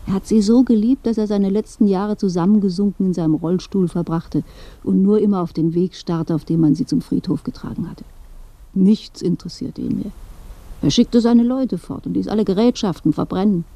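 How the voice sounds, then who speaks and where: An actress as a creaky, dark-voiced old gossip. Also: female voice, mid-range voice, steady tone, brisk, dry recording, lightly acted. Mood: knowing. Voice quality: creaky, mellow. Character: old dead gossip woman, knowing and matter-of-fact. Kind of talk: German radio play dialogue.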